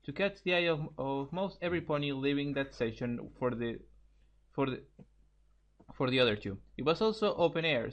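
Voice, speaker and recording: A man's voice: mid-range voice, highs cut off. A man reading a story aloud informally, often stumbling and repeating phrases as he goes. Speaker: co-host reading fan fiction aloud.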